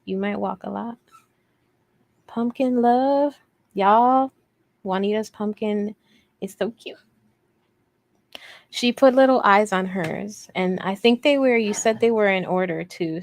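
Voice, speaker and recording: A woman with a soft voice, hosting a casual craft livestream in a rambling, playful, self-deprecating way as she answers viewer comments. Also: mid-range voice, unhurried, creaky, pauses often. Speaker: host of a crochet livestream.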